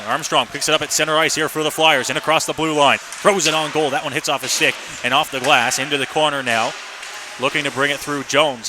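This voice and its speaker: A man doing live ice hockey play-by-play for radio, describing the action in clipped running phrases. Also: fairly high pitch. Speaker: play-by-play announcer. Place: ice rink, live broadcast position. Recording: noisy live arena broadcast.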